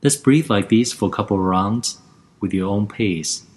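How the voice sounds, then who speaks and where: A man leads a guided relaxation session in a calm, gentle voice. He talks very slowly and unhurriedly, leaving room between each instruction, with a fairly low voice.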